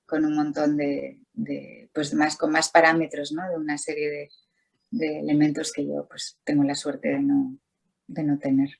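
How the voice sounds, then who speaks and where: A woman's voice: low-pitched, level tone, unhurried, creaky, darkish tone, slightly rough, low-quality recording. A middle-aged Spanish-speaking woman, an artist talking casually over a video call, rambling with many restarts and fillers. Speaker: artist, interview guest.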